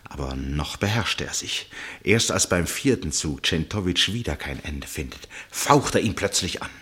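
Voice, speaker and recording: A man playing a grave, concerned gentleman who narrates a radio drama in a dramatic, deliberate manner. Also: sits low, animated, husky, heavily acted. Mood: grave, suspenseful.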